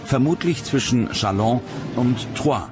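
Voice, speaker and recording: A man reading scripted documentary narration in a measured, dramatic storytelling manner. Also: mid-range voice, animated. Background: music under the narration.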